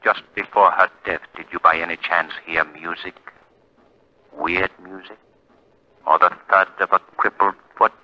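An actor playing an invisible, mysterious crime-fighter with deliberate, formal, menacing courtesy in 1940s radio style. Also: male voice, low-pitched, steady tone. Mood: mocking, menacing. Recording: dull 1940 radio recording.

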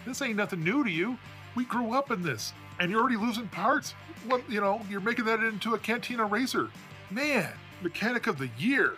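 A man's voice: sits high, animated, fairly quick, sharp tone, faint music behind. A man playing an encouraging racing crewmate who coaches his friend in a tabletop roleplay. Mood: excited, encouraging.

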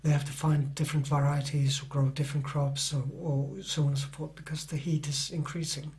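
A man's voice: fairly high pitch, flat delivery, fairly quick, a little mellow. A middle-aged man who is not a native English speaker, an author answering interview questions in a hesitant way, with many fillers and restarts.

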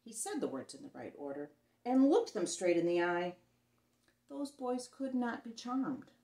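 Expressive middle-aged woman reading a storybook, roomy sound. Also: mid-range voice. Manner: expressive, theatrical emphasis with dramatic pauses. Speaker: children's storyteller reading a picture book aloud. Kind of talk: dramatic read-aloud for young children. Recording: roomy echo on the voice.

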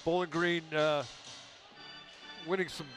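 Guy doing hockey color commentary, kinda high voice, lots of uhs, faint music under it. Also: little pitch movement, slow, a bit bright. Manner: loose, analytical, with many uh fillers.

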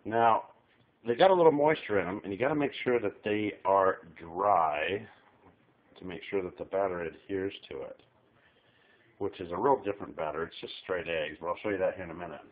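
A man's voice: low voice, moderate pace. A middle-aged man casually explaining a recipe in a small kitchen, chatting to viewers while filming one-handed. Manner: folksy, chatty instructions, talks to the viewer. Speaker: home cook filming a recipe. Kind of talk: casual cooking tutorial. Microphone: handheld camera filming overhead. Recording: tinny phone-like audio.

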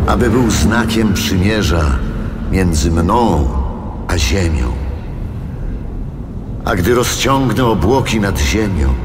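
Casting: solemn divine ruler for Bible audio drama, low, measured, commanding, over music. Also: male voice. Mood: solemn, promising. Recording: long cavernous reverb over music.

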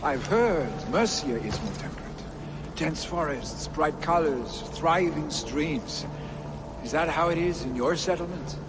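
Casting: cocky young Norse fighter for a game, higher voice, very animated, flippant, noisy ambience. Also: male voice, a little slow. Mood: restless, evasive. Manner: boastful, swinging, flippant. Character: brash, carefree young Viking warrior, chieftain's heir. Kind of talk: video game cutscene acting.

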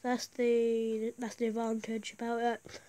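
A gaming YouTuber with a high voice, affected by a cold, giving casual, rambling, excitable gameplay commentary. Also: measured pace. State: has a bad cold.

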